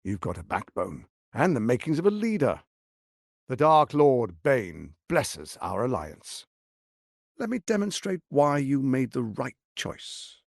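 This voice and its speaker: A man playing a smooth-tongued, condescending tyrant lord in a video game, with a pompous, theatrical delivery and deliberate emphasis. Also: mid-range voice, wildly swooping pitch, dry recording, clean studio sound, heavily acted. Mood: smug, persuasive.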